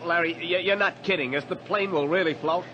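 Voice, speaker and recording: A man's voice: on the high side, a little fast, dull audio, lightly acted. A man playing a keen, joking young plane passenger in a radio adventure drama. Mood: uneasy. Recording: noisy vintage radio drama recording.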